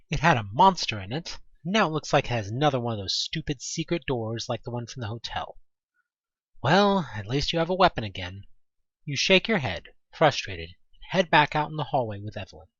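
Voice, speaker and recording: Husky, animated male horror narrator. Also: medium pitch, no echo, highs cut off. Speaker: narrator of a fan audio drama. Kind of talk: dramatic second-person horror story narration.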